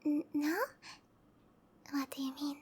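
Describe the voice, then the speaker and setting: A young monster-girl lover in a roleplay audio drama, sweet on the surface and dangerously possessive. Her voice is very high, soft and whispery, drawn out at an unhurried pace. Background noise is clearly audible behind her. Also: completely flat delivery. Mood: pleading, hurt. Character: sweet, clingy, obsessive young female snake-monster girlfriend. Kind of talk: roleplay ASMR acting.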